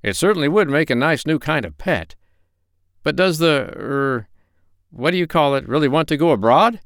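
A man's voice: on the high side, lively intonation, normal speed, fairly bright tone, dry recording, clean studio sound, pitched above the performer's own voice. A man playing a kind, modest, absent-minded doctor in a storybook, mild and musing.

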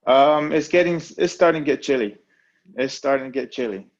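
A man's voice: mid-range voice, brisk, dull audio. A young man from American Samoa, a pro fighter, talking in a laid-back, hesitant way on a remote call. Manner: laid-back, hesitant, many fillers and restarts. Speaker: professional fighter, guest. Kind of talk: casual interview.

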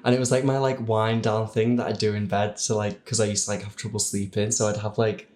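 Lowish-voiced man chatting casually. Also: little pitch movement, a little fast, a little mellow. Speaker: podcast panellist. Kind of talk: casual personal anecdote.

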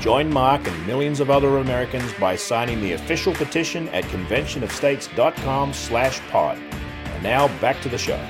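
Brisk male promo announcer over guitar. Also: lively intonation. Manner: brisk, upbeat pitch. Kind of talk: scripted promo read.

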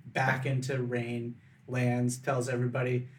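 A man's voice: mid-range voice, monotone, a little slow. A man co-hosting a podcast, chatting casually and joking with frequent laughter. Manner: jokey, laughs often. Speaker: podcast co-host.

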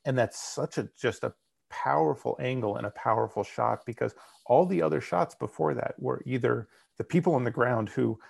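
A man in casual conversation, describing film shots and camera angles in relaxed detail. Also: mid-range voice, moderate pace, vocal fry, slightly rough. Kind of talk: casual movie discussion.

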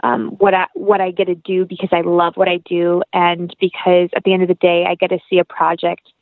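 A woman's voice: lowish voice, completely flat delivery, fairly quick, dry recording. A young woman as an interview guest, chatting in an earnest, heartfelt, rambling way. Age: young adult. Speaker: podcast guest, actress. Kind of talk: casual interview chat. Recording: tinny phone-like line.

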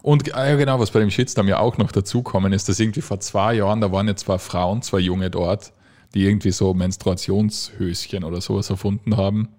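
Young Austrian co-host, lowish voice, easygoing and jokey. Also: male voice, fairly even intonation, a little fast, darkish tone. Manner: joking, easygoing, teasing. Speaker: podcast co-host. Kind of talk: casual chat.

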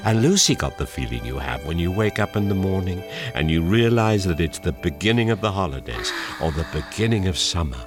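A man narrating a children's fantasy audio drama as a measured, deliberate storyteller. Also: low voice, big pitch swings. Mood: solemn wonder. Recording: loud music behind the narration.

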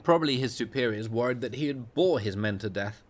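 A man voicing a brisk, condescending senior male hospital consultant with a sardonic, clipped manner. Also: mid-range voice, expressive, close to an everyday voice. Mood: amused.

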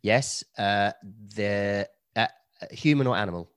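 Man, very low voice, dry close sound, rambling banter, a bit hungover. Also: slow, a bit bright, clean tone, no echo. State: slightly hungover. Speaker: podcast co-host. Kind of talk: casual chat.

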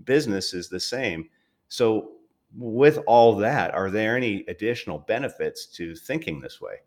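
A man's voice: lowish voice, animated, moderate pace, a little mellow. A man hosting an interview in casual chat, with an informal, jokey way of talking. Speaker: interview host. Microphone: online call.

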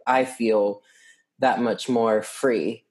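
A young man in his twenties talks openly in a relaxed conversation. He laughs often and drops the odd swear word. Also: lowish voice, extremely slow. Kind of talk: casual chat.

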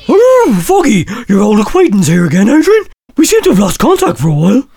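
A man's voice: sits high, huge pitch swings, a little fast, creaky, dark tone, faint music behind, heavily acted. A man voicing a smug, boastful old friend reading out his patronising comic letter. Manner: patronising, self-satisfied.

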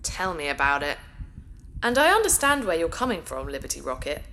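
An actress playing a naive, caring artificial intelligence in a science fiction audio drama, speaking with earnest, simple questions. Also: female voice, deep voice, big pitch swings, a little fast, sharp tone, slight background noise, exaggerated voice. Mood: calm, reasoning.

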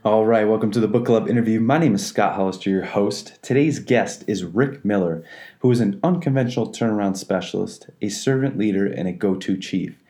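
Guy hosting an interview podcast, lowish voice, upbeat, some ums. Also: brisk, warm tone, soft background music. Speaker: podcast host. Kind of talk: reading an introduction, then chatting.

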